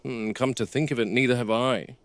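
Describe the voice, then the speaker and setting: A man performs a game warden in a found-footage horror drama. His mid-pitched voice is measured and plain-spoken, with a wary, probing manner. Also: steady tone, no echo, close to an everyday voice. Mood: casual. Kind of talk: scripted found-footage horror film dialogue.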